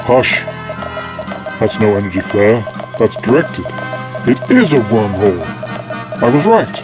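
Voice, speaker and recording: A man's voice: fairly low pitch, very animated, unhurried, music in the background. A man playing an eccentric, self-important time-travelling scientist in an audio drama, pompous and absent-minded. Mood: urgent.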